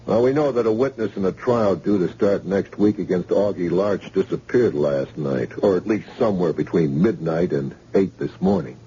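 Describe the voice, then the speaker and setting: An actor playing a level, businesslike police detective sergeant in an old-time radio drama. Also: male voice, low voice, animated, moderate pace, hardly any pauses, warm tone, breathy edge, exaggerated voice. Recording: hissy, muffled old radio recording.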